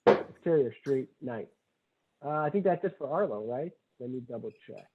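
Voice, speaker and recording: A man's voice: medium pitch, animated, moderate pace, very noisy. A man who wrote a screenplay, reading its stage directions and talking as narrator of the table read. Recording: tinny, phone-like call audio.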